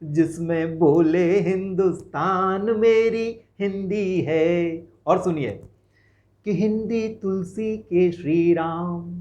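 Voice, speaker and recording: A man, a poet, reciting his own verses in a slow chant, with short, quick, quiet spoken asides between verses. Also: sits high, muffled recording.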